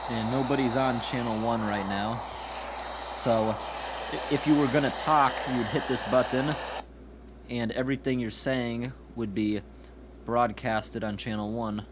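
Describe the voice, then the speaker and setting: A man's voice: medium pitch, fairly even intonation, measured pace. A man casually demonstrating a product in a plain, informal, explaining way. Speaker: gadget reviewer. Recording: noisy handheld amateur recording.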